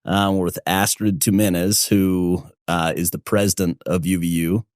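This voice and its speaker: A man's voice: deep voice, little pitch movement, no room sound, studio quality. A man hosting an interview podcast, talking enthusiastically with lots of "like" and "uh" fillers. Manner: enthusiastic, filler-heavy, slow and effusive. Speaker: podcast host.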